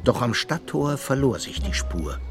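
A man narrating a children's radio play in a measured storytelling way. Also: medium pitch, sing-song. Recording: music under the voice.

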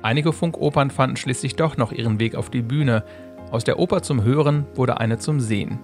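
A man's voice: mid-range voice, moderate pace, a little mellow, faint music behind. A man reading a scripted radio feature as a reporter.

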